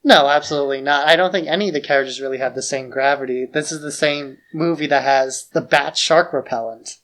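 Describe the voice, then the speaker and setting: A man's voice: medium pitch, a little fast, a bit bright, rough recording. Informal, opinionated man co-hosting a podcast. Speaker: podcast co-host. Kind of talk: casual podcast discussion.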